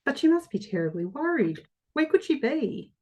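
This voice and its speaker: A woman's voice: sits high, big pitch swings, normal speed, mellow. A woman playing an anxious, sympathetic, apologetic character in a comic stage play. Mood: concerned.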